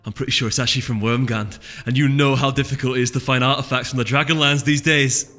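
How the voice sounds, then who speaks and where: A man's voice: medium pitch, fairly even intonation, fast, sharp tone, lightly acted. A man voicing a bubbly, adventurous young female pony with a Scottish accent and an eager, chattering manner in a storybook reading. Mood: excited, gushing. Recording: long reverb with music underneath.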